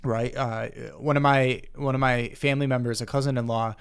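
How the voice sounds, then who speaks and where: A man's voice: medium pitch, flat delivery, fairly quick, clean tone. A man hosting a casual podcast conversation, rambling with lots of fillers and restarts. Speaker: podcast host.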